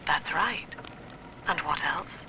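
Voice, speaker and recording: An actress playing a stern, controlling mother who instructs in measured tones in a game audio diary. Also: deep voice, bright, forceful tone, slight background noise, full character voice, rough recording. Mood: cool, controlled. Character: cold, controlling mother grooming her gifted daughter.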